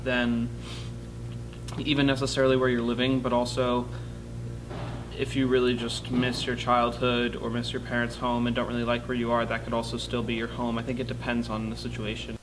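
Monotone teenage boy. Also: medium pitch, noisy background. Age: teens. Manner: quick, monotone explaining. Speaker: interviewee. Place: indoor studio, plain wall. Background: music.

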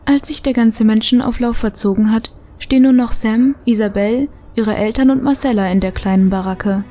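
A woman narrating an audio drama as a calm, descriptive storyteller. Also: a little high, normal speed, lightly acted. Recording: slightly muffled home recording.

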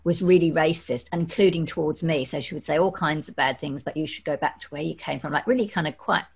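A middle-aged woman from London hosts an interview over a video call, talking in an animated, chatty way. Her voice sits a little low and comes through a desk mic in a home room. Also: a little fast, gravelly, breathy edge. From London, England. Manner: animated, gestures while talking. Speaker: podcast host. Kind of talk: interview chat. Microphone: studio desk mic on stand.

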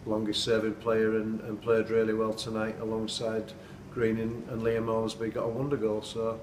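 A man's voice: on the low side, monotone, moderate pace, a little mellow, distant mic. A middle-aged man, a football manager giving a plain-spoken, earnest post-match interview indoors at night. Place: indoors at night, dim room. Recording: echoey room, off-mic, noisy.

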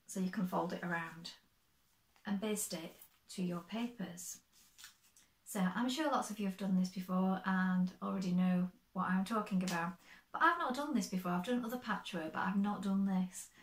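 A woman in her fifties, slightly croaky, chatting step by step through her sewing projects in a bright sewing room. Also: medium pitch, moderate pace, roomy sound. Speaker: sewing vlogger. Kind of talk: casual show-and-tell.

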